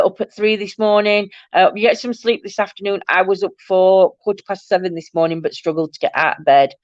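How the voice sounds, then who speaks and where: A middle-aged woman hosts a casual livestream. Her voice is croaky and a bit nasal, and she rambles affectionately to her viewers. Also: mid-range voice, no echo, highs cut off. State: croaky, nasal from hay fever. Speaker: livestream host. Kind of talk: casual live-stream chat with viewers.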